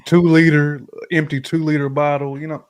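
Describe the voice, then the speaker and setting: An American man chatting casually about relationships as a show host, telling stories in animated, slangy language. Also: a little high, moderate pace. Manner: animated storytelling with slang and hypothetical examples.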